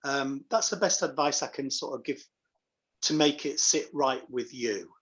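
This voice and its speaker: A man's voice: medium pitch, unhurried, fairly bright tone. A middle-aged man giving reflective, anecdotal answers as a pantomime performer in a casual interview.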